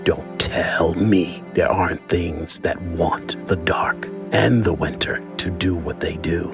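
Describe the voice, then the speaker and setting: A grave former soldier , played by a man, speaks very deep and slow in an audio drama. He is deliberate, defensive and insistent, with music underneath. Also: steady tone, vocal fry, exaggerated voice. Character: resolute, defensive male former soldier giving testimony.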